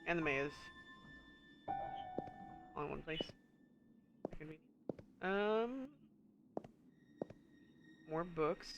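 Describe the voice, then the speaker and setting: Stuffy-nosed streamer muttering over music. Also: female voice, low-pitched, animated, extremely slow, long pauses, dull audio. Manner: muses aloud, reads in-game text, chuckles often. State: stuffy nose. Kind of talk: casual gameplay commentary.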